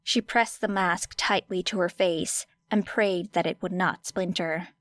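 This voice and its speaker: A woman reading an audiobook story dramatically, with deliberate, expressive phrasing and weighty pauses. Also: on the low side, no room sound. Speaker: audiobook narrator. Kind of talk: dramatic scripted fiction narration.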